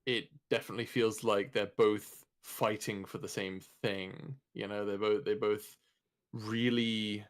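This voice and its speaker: A man's voice: on the low side, flat delivery, measured pace. A man talking casually as a voice actor on a panel, earnest and enthusiastic, feeling his way through sentences. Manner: earnest, enthusiastic praise, hesitant phrasing.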